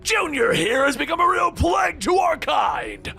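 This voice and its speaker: A man's voice: extremely high pitch, huge pitch swings, moderate pace, creaky, bright, forceful tone, shouting, soft background music, pitched above the performer's own voice, heavily acted. A man voicing a manic, cruel villain clown with a theatrical, taunting manner, in a comic book story. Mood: cruel shouting.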